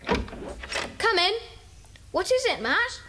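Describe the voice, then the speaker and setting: Confident British schoolboy leader, high lively voice, polite, children's audio drama. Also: female voice, drawn-out words, background noise, exaggerated voice. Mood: assured.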